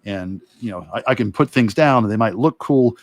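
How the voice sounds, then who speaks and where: Male illustrator-instructor, lowish pitch, reflective and halting, casual demo delivery, very dry recording. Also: no echo. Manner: reflective, halting, long rambling answers. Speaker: illustrator and course instructor demonstrating. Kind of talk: casual demo talk while drawing.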